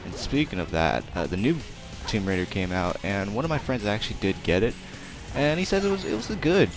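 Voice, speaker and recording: A young man giving rambling, casual let's play commentary with a naturally quiet voice. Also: lowish voice, big pitch swings, brisk. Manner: rambling, chatty, self-deprecating, many fillers. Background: game music.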